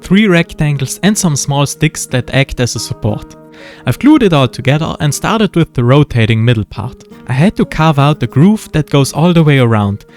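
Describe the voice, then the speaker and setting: A man with a German accent narrates his model-building project in a relaxed, wry voice-over, slipping in dry jokes. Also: a little high. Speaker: model-building video creator. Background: faint background music.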